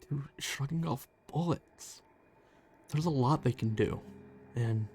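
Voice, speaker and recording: A smug male vampire, acted by a man in an ASMR roleplay. He speaks slowly at a medium pitch, condescending and teasing, with menace underneath. Faint music plays behind him. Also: gravelly, warm tone. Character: smug, teasing male vampire stalker toying with his hunter.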